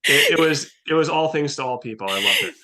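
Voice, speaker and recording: A man chatting casually as a playwright guest in an interview, thinking aloud with halting restarts. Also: a little high, animated, crowd noise.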